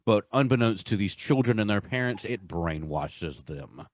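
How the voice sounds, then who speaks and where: A man's voice: fairly low pitch, lively intonation, normal speed. A young man co-hosting a podcast in casual chat, rambling and stammering as he repeats words. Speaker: podcast co-host.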